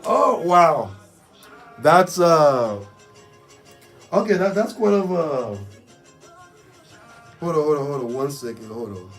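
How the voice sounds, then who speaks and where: A man with a raspy voice hosting a casual, improvised community radio show in an exclamatory hype style, repeating catchphrases. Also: a little high, sing-song, slow, long pauses, soft background music. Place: radio studio.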